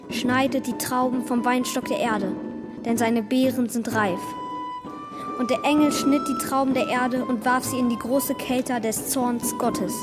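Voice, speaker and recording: Actress as solemn, high-voiced scripture reciter. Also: female voice, close to an everyday voice. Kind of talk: dramatic scripture reading in radio drama.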